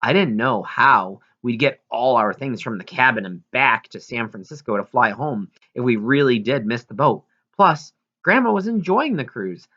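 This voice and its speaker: A man reading a first-person story aloud in an expressive, earnest way, now and then stumbling. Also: mid-range voice, normal speed, few pauses, lo-fi sound. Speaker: audiobook reader.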